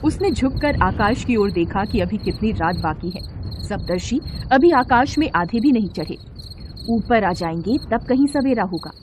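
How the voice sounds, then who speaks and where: Woman storyteller over clear insect-like noise. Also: medium pitch, moderate pace. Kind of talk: scripted story narration. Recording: steady insect-like background noise.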